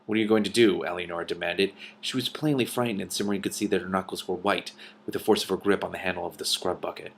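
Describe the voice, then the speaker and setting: Casting: male book reader, slightly low pitch, expressive narration, casual commentator style. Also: fast talker, gravelly, a little hiss or hum.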